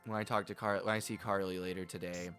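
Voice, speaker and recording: A man's voice: sits low, flat delivery, fast talker, fairly bright tone, soft background music. A man casually chatting with his viewers while playing a game on a livestream, rambling and laughing as he goes. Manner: chatty, rambling, frequent restarts and laughs, talks to viewers by name. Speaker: game streamer.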